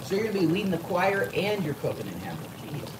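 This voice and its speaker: A man off camera gushing over the cooking with loud exclamations, in a home kitchen. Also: high-pitched, big pitch swings, quick pace. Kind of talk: enthusiastic casual commentary. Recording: roomy, distant and noisy kitchen.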